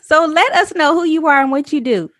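Woman, host, high pitch, very animated intonation, upbeat, video call. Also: a little fast, no room sound. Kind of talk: casual interview chat.

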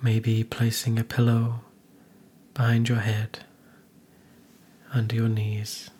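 Slow, even-toned man guiding meditation. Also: mid-range voice, slight background noise. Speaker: meditation guide. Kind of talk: guided meditation narration.

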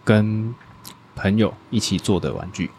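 Man, low voice, hesitant interview guest, faint hiss behind. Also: unhurried, darkish tone. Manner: hesitant, many fillers and restarts. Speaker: guest toy designer. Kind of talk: casual interview answers.

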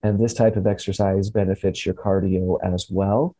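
Upbeat male host with a dark tone. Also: sits low, little pitch movement, moderate pace, dry recording, poor audio. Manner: upbeat, punny, laughs often. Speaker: radio show host. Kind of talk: casual scripted health segment. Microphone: remote call from home.

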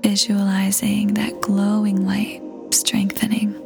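A woman leads a guided meditation in a soft, breathy near-whisper. Her delivery is very slow and soothing, with gentle pauses and hardly any rise or fall in pitch. Faint music plays behind her. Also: monotone. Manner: soothing, unhurried, gentle pauses between phrases. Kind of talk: scripted guided meditation, ASMR-like. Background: soft new-age music.

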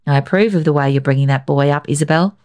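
A woman voicing a gruff, stern old grandfather in a storybook reading. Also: low voice, fast talker, a little mellow, natural voice. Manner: gruff, grim.

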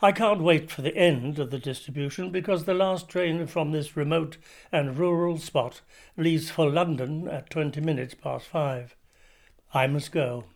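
A man reading as Charles Dickens, a Victorian writer fondly setting down his journal in a measured, savouring delivery. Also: fairly high pitch. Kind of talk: dramatic literary reading.

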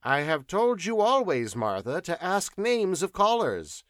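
A man's voice: high voice, big pitch swings, a little slow, fairly bright tone, dry recording, studio quality, voice pushed up, heavily acted. A man voicing a brusque, eccentric scientist who speaks curtly. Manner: curt, fierce.

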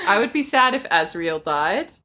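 A woman's voice: on the high side, huge pitch swings, slow pace, bright, forceful tone. A woman co-hosting a podcast in casual chat, giggly, playful and opinionated. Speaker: book podcast co-host.

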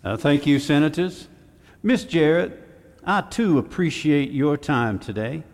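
A man playing a courteous, methodical senator at an inquiry in an audio drama, formal and measured. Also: on the high side, darkish tone. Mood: courteous, probing. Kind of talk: fiction podcast audio drama. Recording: echoey hall with long reverb.

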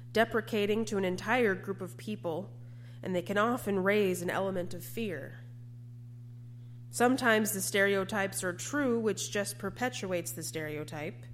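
A woman preacher delivering a scripted sermon in an earnest, measured way, often posing rhetorical questions. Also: medium pitch, slight background noise.

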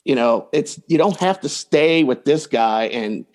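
A man's voice: mid-range voice, lively intonation, unhurried, darkish tone. A man speaking as a coach and podcast guest, giving plain-spoken advice in casual talk and acting out example lines.

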